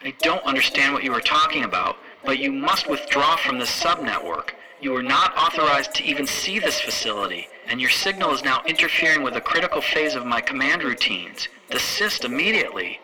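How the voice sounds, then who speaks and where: A man's voice: mid-range voice, expressive, a little fast, few pauses, noisy background, voice pushed up, close to an everyday voice. Man playing a cold stern matron commander. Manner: curt, authoritative.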